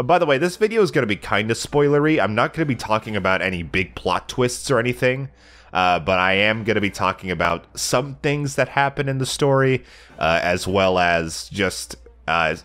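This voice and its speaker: A man's voice: sits low, a bit bright. A young man with a stuffy, slightly nasal voice from a cold, casually rambling about a video game with plenty of fillers. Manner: rambling, lots of fillers and self-corrections. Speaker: let's play channel regular. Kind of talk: casual game review monologue.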